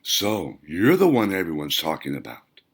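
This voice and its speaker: A man's voice: low-pitched, huge pitch swings, moderate pace, gravelly, breathy edge, pitched below the performer's own voice, full character voice. A man voicing a chatty, nosy married woman, gossipy and effusive, in a storybook reading. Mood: gossipy, admiring.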